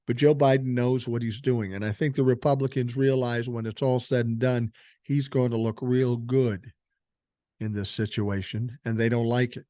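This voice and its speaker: A man's voice: mid-range voice, steady tone, normal speed, mellow, dry recording, clean studio sound. An older man hosting a political podcast, giving blunt, profane opinions and reading news stories aloud. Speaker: podcast host.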